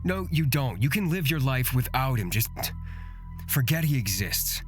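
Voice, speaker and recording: A man's voice: medium pitch, very animated, moderate pace, faint music behind, natural voice. A man playing a cautious, blunt and easily exasperated companion in a fantasy visual novel. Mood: stern. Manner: blunt, stern. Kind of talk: visual novel character acting.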